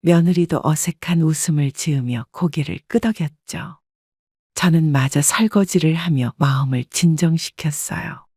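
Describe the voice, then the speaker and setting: A woman narrating a family story in a calm, measured way, recorded on a close studio mic. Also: low voice, slightly rough, no room sound.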